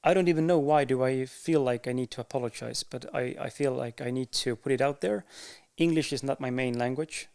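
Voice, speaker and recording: Estonian-accented man, slow and candid. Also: medium pitch, creaky, a little mellow. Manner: unhurried, frequent pauses and fillers, candid. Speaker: podcaster. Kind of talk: casual talk to camera.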